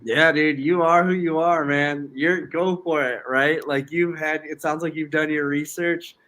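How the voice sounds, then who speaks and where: A man's voice: a little high, steady tone, measured pace, bright, forceful tone. A man co-hosting a show, giving laid-back, encouraging feedback in casual chat.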